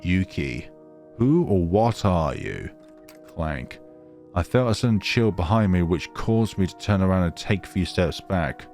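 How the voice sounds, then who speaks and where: A man's voice: low-pitched, expressive, unhurried, darkish tone, faint music behind. A man hosting a gaming video, reading a visual novel aloud dramatically with casual, wry commentary.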